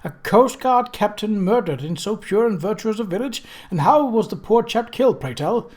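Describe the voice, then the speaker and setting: A man's voice: high voice, lively intonation, normal speed, darkish tone, pitched above the performer's own voice. A man voicing a fussy, droll male antiquarian with a continental European accent who lifts the end of each sentence. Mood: curious.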